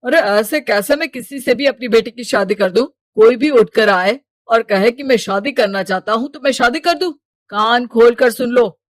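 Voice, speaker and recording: Woman doing strict rich dad, bossy n dismissive, cartoon story, close dry sound with soft music. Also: on the high side, no room sound, lightly acted. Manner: commanding, dismissive. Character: stern, status-proud wealthy father of a grown daughter.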